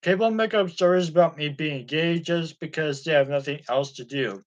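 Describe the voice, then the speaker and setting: A man's voice: fairly high pitch, moderate pace, close to an everyday voice. A man reading an indignant young male star. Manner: indignant, insistent questioning. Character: upset young male star denying rumors.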